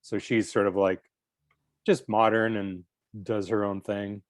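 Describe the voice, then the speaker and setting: A man's voice: lowish voice, little pitch movement, a little slow, no room sound, clean studio sound. A man, a writer, casually explaining characters to a cast.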